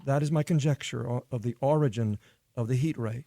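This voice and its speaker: Mellow, halting professor played by a man. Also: medium pitch, creaky, no echo, natural voice. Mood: cautious, pondering. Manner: halting, pedantic, stammering restarts. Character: hesitant, scholarly male scientist, cautious professor. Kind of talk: radio play acting, scientific explanation.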